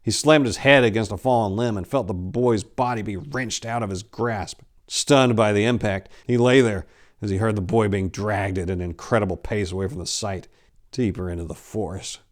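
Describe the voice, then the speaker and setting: An American man in his forties telling a story in a theatrical, expressive, dramatic storyteller's manner. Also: on the low side, moderate pace. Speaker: author reading his own short story. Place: indoor room lined with bookshelves. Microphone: lapel mic.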